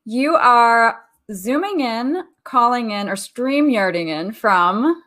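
A woman's voice: on the high side, animated, takes it slowly, fairly bright tone. A woman hosting a radio talk show, upbeat and friendly, reading a guest's introduction and opening the interview.

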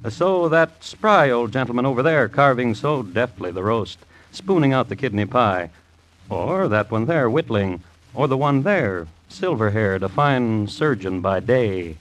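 An actor playing an urbane, dryly macabre crime historian who hosts a 1950s radio series in a formal, wry manner. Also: male voice, mid-range voice, lively intonation, measured pace, close to an everyday voice. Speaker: host and narrator of crime series. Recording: faintly noisy vintage radio recording.

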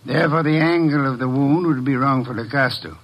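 A man playing a pompous, imperious fat detective in an old radio drama, speaking in formal, pedantic, deliberate phrases. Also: mid-range voice, natural voice, poor audio. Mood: coolly probing.

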